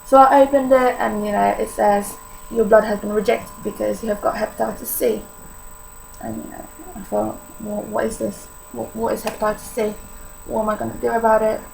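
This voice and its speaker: An eighteen-year-old Polish-accented woman speaks candidly to her camera, her voice pitched a little high and heavily gravelly with vocal fry. She talks informally with plenty of fillers. A faint hum of noise sits behind her. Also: flat delivery, fairly quick, darkish tone, poor audio. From Poland. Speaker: vlogger. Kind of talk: personal vlog confession.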